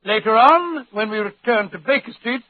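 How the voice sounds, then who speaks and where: Older avuncular man, high pitch, flustered, hesitant, 1940s radio drama, thin narrow-band sound. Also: animated, normal speed. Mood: storytelling.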